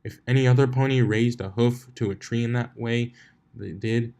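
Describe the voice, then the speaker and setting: A man's voice: mid-range voice, steady tone, moderate pace, warm tone. A man reading a story aloud as its narrator, in scripted narration. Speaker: fan fiction reader, narrator.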